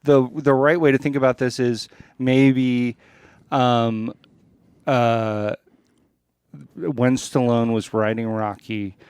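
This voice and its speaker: A man co-hosting a casual chat show, talking haltingly and repeating words as he thinks aloud. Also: medium pitch, little pitch movement, darkish tone, clean tone, no room sound. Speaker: podcast co-host introducing a radio play.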